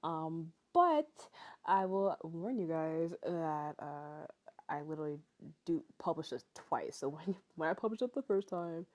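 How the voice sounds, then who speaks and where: A woman's voice: deep voice, animated, unhurried, a little mellow. A young woman in her twenties, tired, narrating her vlog in a chatty, rambling way with many fillers. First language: English. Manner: chatty, rambling, frequent fillers and little laughs. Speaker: vlogger. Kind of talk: casual voice-over narration.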